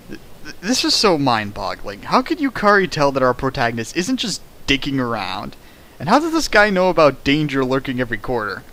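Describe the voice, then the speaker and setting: A man's voice: mid-range voice, fairly bright tone, rough recording. A man reading a fan fiction aloud for comedy, breaking off for sarcastic, mocking commentary on the writing. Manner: sarcastic, mocking asides, laughs at the text. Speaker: reader riffing a fan fiction.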